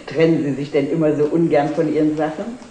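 A woman's voice: deep voice, normal speed, warm tone, natural voice. An actress playing a well-off, chatty middle-aged lady customer in a 1960s TV drama. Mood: decisive, gracious. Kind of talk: 1960s German television drama acting. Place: indoor antique shop set. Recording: roomy, noisy old TV soundtrack.

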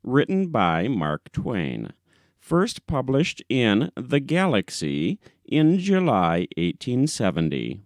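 A man's voice: mid-range voice, huge pitch swings, takes it slowly, dry recording, studio quality. A man reading a literary short story as an audiobook narrator, in a scripted narration style.

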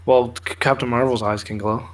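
A man's voice: medium pitch, fairly even intonation, a little fast. A young man co-hosting a casual pop culture chat from a room at home, explaining things informally and swearing freely. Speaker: co-host of a pop culture news show. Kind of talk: casual chat, explaining film trailers and comics.

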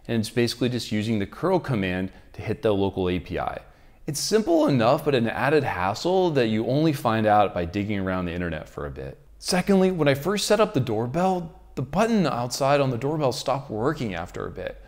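A man presents an explanatory tech video to camera. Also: mid-range voice. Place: quiet living room, indoors. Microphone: lapel microphone.

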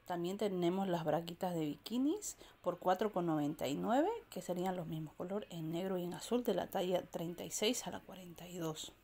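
Low-voiced woman listing store prices. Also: a little fast, few pauses. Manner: reads out prices and sizes in a steady listing way, trailing off softly. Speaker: shopping vlogger. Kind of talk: walk-through product rundown. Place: indoor discount supermarket aisle. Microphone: handheld phone camera, filming while browsing.

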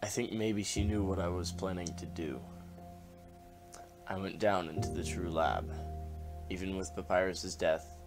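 A man as a low-voiced, wry undead skeleton. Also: steady tone, a little slow, long pauses. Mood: somber. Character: glitchy half-dead skeleton man, wry joker, weary and haunted. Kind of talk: comic dub voice acting. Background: music.

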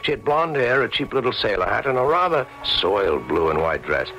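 An actor playing a thoughtful, concerned friend who tells the story in measured, dramatic old radio style. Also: male voice, lowish voice, sing-song, bright, forceful tone, a little hiss or hum, full character voice, low-quality recording. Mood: reflective, dramatic. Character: concerned, reflective male friend narrating the painter's story.

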